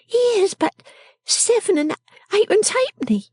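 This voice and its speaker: Storybook role: scolding young girl dressmaker, very high swooping voice, snappish, bossy and emphatic. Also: very animated, a little slow, darkish tone, dry recording, clean studio sound, heavily acted. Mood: angry, snapping. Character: shrewish, bossy, sickly young girl dressmaker, sharp-tongued. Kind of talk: storybook character acting.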